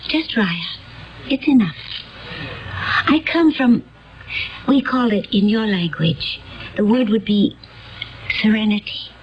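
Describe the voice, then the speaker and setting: An actress playing a gentle, naive alien woman from a utopian world, earnest and solemn in a dramatic radio play style. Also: medium pitch, animated, a little hiss or hum. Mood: earnest, calm. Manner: earnest, dreamy, solemn, deliberate. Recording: dull old radio recording with music behind.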